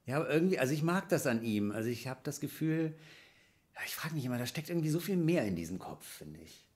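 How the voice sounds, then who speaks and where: A man's voice: medium pitch, sing-song, quick pace, close to an everyday voice. A man playing a hearty, teasing forest worker chatting colloquially with a buddy in an improvised radio play. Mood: chummy, curious. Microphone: stand microphone.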